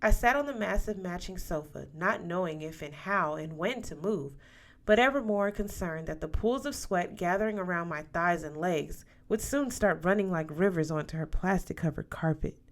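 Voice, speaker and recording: A woman's voice: lowish voice, normal speed. A woman reading a first-person story aloud as scripted audiobook narration. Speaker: audiobook reader.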